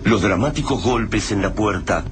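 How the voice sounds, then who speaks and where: A man's voice: on the low side, animated, normal speed, close to an everyday voice. A man playing the solemn, dramatic storyteller of a doomsday radio drama, reading scripted narration. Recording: radio drama with noisy backdrop.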